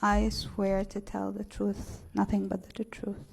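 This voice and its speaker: A middle-aged woman with an Egyptian accent, giving testimony as a witness in a hesitant, halting way. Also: medium pitch, completely flat delivery, creaky, darkish tone, a little hiss or hum. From Cairo, Egypt. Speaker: witness at a hearing.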